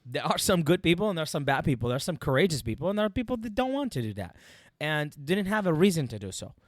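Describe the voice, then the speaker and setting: A man's voice: on the high side, big pitch swings, fast talker, dry recording, clean studio sound. A man in his thirties from Iraq, a native Arabic speaker with an Iraqi accent, tells a personal war story in an interview, emphatically and with repeated phrases. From Iraq, Baghdad. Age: 30s. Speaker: podcast guest, military veteran.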